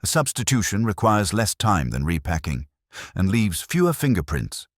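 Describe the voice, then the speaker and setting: A man voicing a quiet, shrewd police inspector who speaks calmly and gently in a mystery story. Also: low voice, no echo, studio quality, pitched above the performer's own voice. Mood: thoughtful. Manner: calm, gentle, measured.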